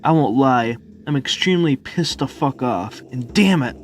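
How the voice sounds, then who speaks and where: A man's voice: mid-range voice, animated, normal speed, slight background noise, natural voice. A man voicing a bitter, angry, defeated villain in an amateur cartoon dub. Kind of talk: amateur comic dub character acting.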